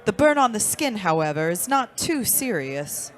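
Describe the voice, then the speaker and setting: A woman plays a small-town doctor in an old-time radio play. She has a mid-range voice and speaks in a measured, formal way, firm and composed. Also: medium pitch, big pitch swings, lightly acted. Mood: grave, protective.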